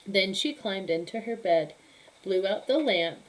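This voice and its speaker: A woman reading a picture book aloud to children in an animated, emphatic storytelling manner. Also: mid-range voice, measured pace, rough recording.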